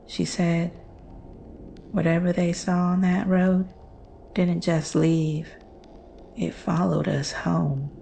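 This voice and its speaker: A haunted woman played by an actress tells a horror tale in a lowish voice with heavy fry. She moves slowly through short, hushed, halting phrases, over faint background noise. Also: flat delivery. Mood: haunted, dread. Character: Jane, haunted woman recounting her family's monster encounter. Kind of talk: slow scripted horror storytelling.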